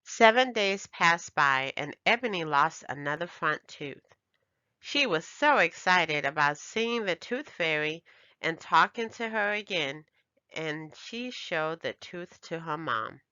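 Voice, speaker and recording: A woman's voice: sits low, animated, slow, pushed and bright, clean tone, dry recording, highs cut off. A woman narrating a children's storybook as a scripted read-aloud for young readers.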